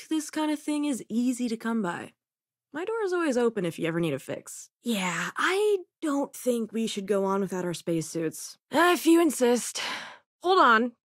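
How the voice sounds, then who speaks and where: A woman's voice: a little high, no room sound, studio quality. A woman voicing a sardonic, self-assured young female crewmate and researcher in a video game, with a casual, wry delivery.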